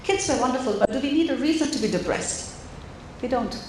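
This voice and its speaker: A woman's voice: high-pitched, lively intonation, a little fast, darkish tone, slight background noise. A woman from India giving an interactive mindfulness talk to parents in a school auditorium, encouraging and asking the audience questions. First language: an Indian language. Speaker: mindfulness coach. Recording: echoey hall, faint room ambience.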